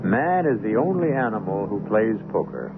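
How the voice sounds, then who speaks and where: A male host narrates a vintage radio mystery with theatrical, wry deliberation. His voice is gravelly and fairly low, with music clearly behind it on a narrow, tinny broadcast. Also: huge pitch swings, normal speed.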